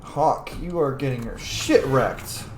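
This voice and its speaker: A man's voice: mid-range voice, expressive, takes it slowly. Wisecracking male gamer in an echoey room. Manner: informal, sweary, wisecracking. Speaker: gaming video host. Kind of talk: casual let's play commentary. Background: game music.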